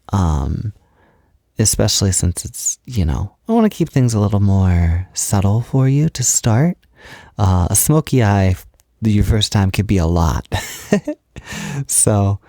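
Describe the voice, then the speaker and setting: A man playing a tender, encouraging partner in an intimate roleplay audio, speaking softly in a gentle, hesitant, reassuring way. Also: on the low side, dry recording, clean studio sound. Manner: gentle, reassuring, hesitant, with breathy whispered moments. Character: tender, encouraging man comforting partner while doing makeup. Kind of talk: intimate roleplay audio, soft ASMR talk.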